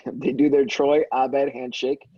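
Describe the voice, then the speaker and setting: Husky man narrating script directions. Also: medium pitch, little pitch movement, slow, mellow, no room sound. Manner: stumbles over words and corrects himself. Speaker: narrator reading stage directions. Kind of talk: amateur table read, plain script narration. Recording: dull, dry lo-fi microphone.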